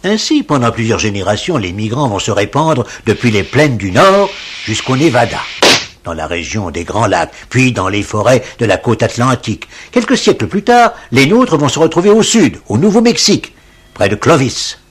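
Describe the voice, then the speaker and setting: A man giving scripted narration for a cartoon in an expressive storytelling manner. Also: mid-range voice, normal speed, slight background noise. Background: music.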